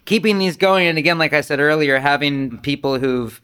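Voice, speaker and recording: A man's voice: fairly high pitch, fairly quick, fairly bright tone, clear, resonant tone. A man speaking as a Zen teacher, giving a casual, conversational talk to a group in a rambling, self-deprecating way with joking asides. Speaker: Zen teacher and podcast host.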